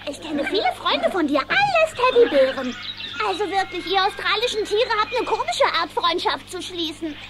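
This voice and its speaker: Tiny cartoon duck girl, extremely high, hugely lively delivery, friendly and talkative. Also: normal speed, very noisy. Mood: eager, friendly. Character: little girl duckling, chatty, eager, friendly. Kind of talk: children's cartoon radio play acting.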